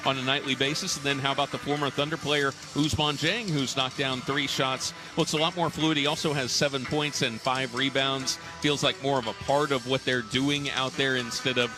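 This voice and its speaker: Male basketball radio host, mid-pitched voice, live halftime analysis over clearly audible music. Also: fairly even intonation, moderate pace, few pauses. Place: arena radio broadcast. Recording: music behind the broadcast talk.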